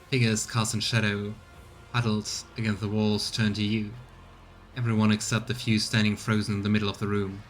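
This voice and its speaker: A man's voice: lowish voice, poor audio. A man giving casual let's play commentary and reading game text aloud. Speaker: streamer. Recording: clear background noise.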